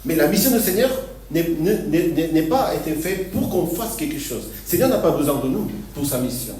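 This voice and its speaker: Male pastor, stop-start delivery with frequent laughs, mellow voice; distant mic in an echoey church hall. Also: on the high side, animated. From Argentina. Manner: halting, repeats words, laughs often. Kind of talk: sermon.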